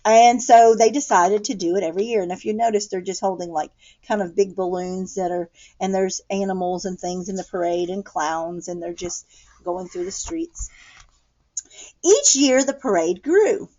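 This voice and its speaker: A woman with an American accent reading a picture book aloud to children, pausing to explain things in simple words. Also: mid-range voice, dark tone, dull audio.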